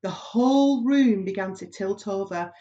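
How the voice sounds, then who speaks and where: A woman's voice: mid-range voice, measured pace, mellow. Woman reading a storybook, slightly dull recording. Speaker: reader, primary school staff member. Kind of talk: expressive children's storybook reading.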